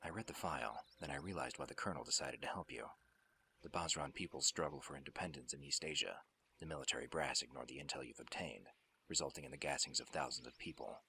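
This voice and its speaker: A man playing a gruff, hardened covert soldier in a radio drama, terse, controlled and pragmatic, with a very deep, gravelly voice. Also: quick pace, pushed and bright, slight background noise, exaggerated voice. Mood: grim, matter-of-fact. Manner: terse, hard-edged, controlled.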